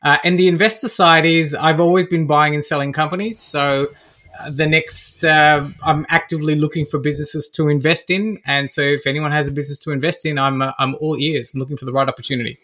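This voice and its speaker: Australian male business coach around forty, fairly high voice, very bright, forward tone, conversational guest delivery. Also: steady tone, fairly quick. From Australia (Melbourne), born in Fiji. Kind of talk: conversational interview answers.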